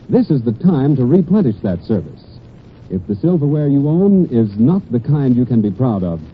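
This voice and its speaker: A man reading a scripted 1940s radio commercial as an announcer, earnest and persuasive, with careful phrasing. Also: medium pitch. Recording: dull, noisy 1940s radio broadcast.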